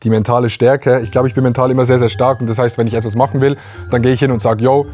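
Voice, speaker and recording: A young man in his twenties chats to camera in a relaxed, slangy way, like a streamer filming his own vlog. His pitch sits in an ordinary middle range, with faint music underneath. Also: fast. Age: 20s. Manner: loose, laid-back, slangy. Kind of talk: casual vlog talk to camera.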